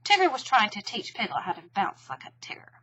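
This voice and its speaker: A woman's voice: mid-range voice, wildly swooping pitch, fast talker, bright, forceful tone, breathy edge, highs cut off. A woman narrating a children's storybook expressively.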